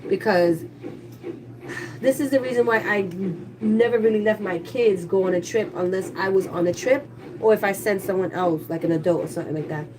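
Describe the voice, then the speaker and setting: A woman's voice: mid-range voice, fairly quick, far from the mic, crowd noise, poor audio. A woman livestreaming casually from her kitchen, chatty and candid as she talks to viewers, with a stuffy, congested voice. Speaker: livestreaming YouTuber. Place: kitchen at home, household noise.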